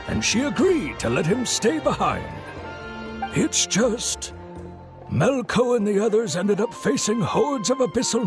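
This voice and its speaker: A man's voice: sits high, heavily acted. An actor playing an earnest, concerned young man who tells a sad story in a somber, slightly hesitant way in a video game. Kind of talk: scripted game dialogue, storytelling.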